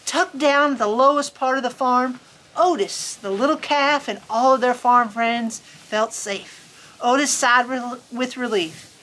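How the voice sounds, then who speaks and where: A woman reading a picture book aloud to children in an expressive, folksy, chatty storytime manner. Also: on the high side, unhurried, poor audio. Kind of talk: animated children's story reading with casual asides. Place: on a farm among sheep.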